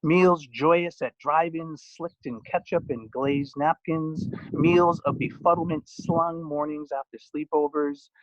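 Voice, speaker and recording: A man's voice: on the high side, steady tone, measured pace, few pauses, dry recording. A man giving an author's reading of his own poems and stories, with informal asides. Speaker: poet and author. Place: at home. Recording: dull, muffled lo-fi sound.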